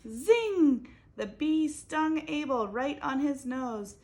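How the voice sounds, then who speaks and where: A woman's voice: sits high, animated, slow pace, a little mellow. A woman reading a picture book aloud expressively, stopping to ask her young listeners questions. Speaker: reader for children.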